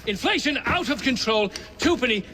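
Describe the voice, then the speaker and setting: An actor playing an indignant, pompous upper-class Victorian gentleman in a game cutscene, with a British accent. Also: very high voice, expressive, moderate pace, long reverb tail. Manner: blustering, pompous. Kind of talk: dramatic video game cutscene acting.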